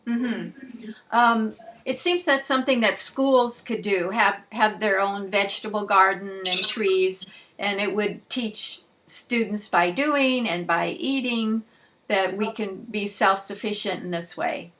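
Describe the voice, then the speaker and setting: A woman's voice: medium pitch, takes it slowly, fairly bright tone. A woman, asking questions conversationally as an interviewer.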